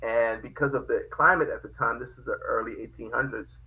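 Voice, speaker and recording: Man from liberia, bright tone, casual storytelling, animated. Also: mid-range voice, a little fast, poor audio. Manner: animated, laughs often, quotes dialogue. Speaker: podcast guest.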